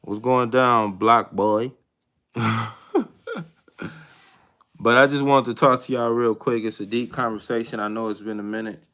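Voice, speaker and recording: A man in his thirties hosting a casual live chat with viewers, talking in a hesitant, reflective way with many pauses and restarts. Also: mid-range voice, fairly even intonation, fairly bright tone. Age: 30s. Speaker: livestream host.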